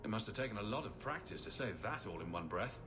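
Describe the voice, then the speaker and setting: An actor playing a cheeky, sceptical champion in a fantasy game, dry and teasing. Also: male voice, lowish voice, lively intonation, fast, over music, lightly acted. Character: sarcastic male adventurer champion, cheeky and sceptical.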